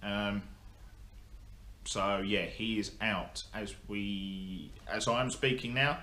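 Deep-voiced, rambling man in a workshop. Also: fairly even intonation, slow pace, bright, forceful tone. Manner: rambling, blunt, many ums and restarts. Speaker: motorsport vlogger. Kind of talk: casual update to camera. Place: indoor workshop. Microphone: camera in front of him. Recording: echoey workshop, faint hum.

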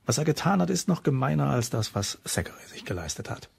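An actor playing an earnest, dutiful, embittered lighthouse keeper in a German crime radio drama, grave and blunt. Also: male voice, mid-range voice, big pitch swings, fast talker, darkish tone, full character voice. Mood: bitter, subdued. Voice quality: husky, rough.

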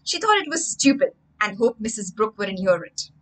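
A young woman, telling a story to children as a livestream English lesson, theatrical and emphatic, pausing to explain words. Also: medium pitch, very animated, fairly bright tone. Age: young adult. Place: indoor room with bookshelves.

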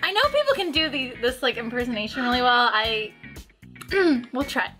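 A woman's voice: on the high side, big pitch swings, normal speed, sharp tone, soft background music. A young woman in playful casual chat as a panelist on a game. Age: young adult. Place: indoor living-room style studio set.

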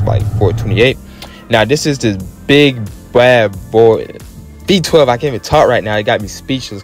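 A man's voice: fairly low pitch, gravelly, darkish tone. An American man hosting a car channel, giving enthusiastic, slangy, casual commentary as a voice-over. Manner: enthusiastic, informal slangy talk. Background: background music.

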